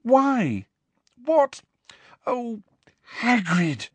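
A man voicing a frightened, indignant young girl in an audiobook, shrill and full of exasperated questions. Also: huge pitch swings, slow, a bit bright, dry recording, heavily acted. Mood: distressed. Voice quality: shrill, breathy when alarmed.

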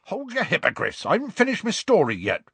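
Gruff, rustic big fellow. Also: male voice, lowish voice, very animated, measured pace, a bit bright, no echo, full character voice. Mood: indignant. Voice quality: gruff, growly. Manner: colloquial, rambling, dropped consonants. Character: big gruff rustic man, warm-hearted, indignant storyteller.

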